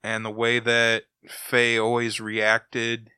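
A man's voice: medium pitch, completely flat delivery, takes it slowly, bright, forceful tone, clear, resonant tone, no room sound. A man chatting casually as a podcast co-host. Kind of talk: casual chat.

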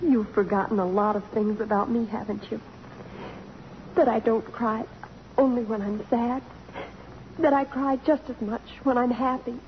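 A woman's voice: on the high side, fairly even intonation, moderate pace, pauses often, close to an everyday voice. An actress playing a tender, sincere young college woman, polite and emotional, in a radio drama. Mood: tearful, forgiving. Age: young adult. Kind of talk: 1950s radio drama acting. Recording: muffled, noisy old radio broadcast.

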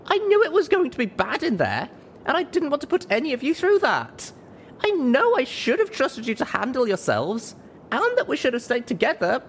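Man as an anxious young mare, very high-pitched. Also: wildly swooping pitch, very slow, slight background noise, exaggerated voice. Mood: pleading, apologetic. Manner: pleading, earnest. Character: young female pony, earnest, apologetic, anxious heroine.

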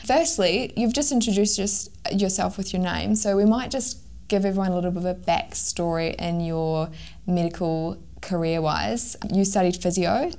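A woman hosts a podcast conversation in a lowish voice. She chats easily and encourages her guest. Her tone stays friendly and relaxed. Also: normal speed, gravelly. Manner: warm, encouraging, chatty. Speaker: podcast host. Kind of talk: casual podcast interview.

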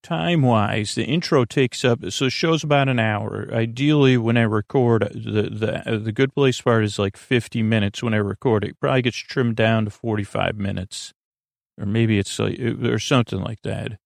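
A man with a creaky, gravelly voice, hosting a bedtime podcast with a meandering, halting ramble full of tangents. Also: on the low side, steady tone, no room sound, studio quality. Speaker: podcast host. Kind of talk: rambling bedtime podcast intro, casual monologue.

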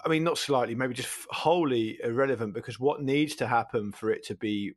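A man's voice: medium pitch, brisk, dry recording. A man chatting casually as a football podcast panellist, wordy and often circling back over his words.

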